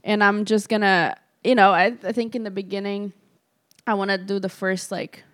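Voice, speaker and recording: A woman in her twenties hosts a relaxed, friendly podcast conversation. Her voice sits at an ordinary pitch for a woman, and her talk is informal, playful and effusive. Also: moderate pace. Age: 20s. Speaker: podcast host. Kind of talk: casual podcast chat with a friend.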